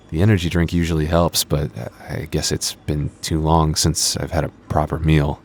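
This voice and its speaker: A man acts out a young male vampire working the night shift, with an extremely low voice. He is laid-back and dryly teasing, stumbling slightly over his words in close, casual ASMR roleplay dialogue. Some noisy ambience sits behind him. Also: a little mellow. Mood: tired, self-deprecating. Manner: hesitant, stammering, dryly teasing.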